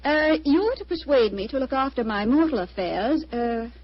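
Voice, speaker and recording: Poised young widow, high voice, gracious, imperious, theatrical, muffled old-time radio. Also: female voice, lively intonation, normal speed. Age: young adult. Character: poised, candid young society widow, charming but imperious. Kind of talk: 1940s radio acting.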